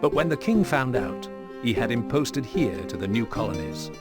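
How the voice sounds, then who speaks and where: A man performing as the formal, measured storybook narrator of a medieval war game. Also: medium pitch, big pitch swings, over loud music, lightly acted.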